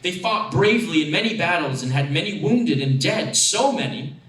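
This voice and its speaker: A man reads a first-person story dramatically, with expressive, deliberate phrasing. His voice is on the higher side. The room is echoey and he sounds set back from the mic. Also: recorded from a distance. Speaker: actor reading a story.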